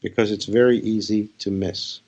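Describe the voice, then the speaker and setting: A man speaking as a spiritual teacher, leading a guided meditation in short, unhurried phrases with pauses. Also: fairly low pitch, steady tone, darkish tone, lo-fi sound. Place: live session.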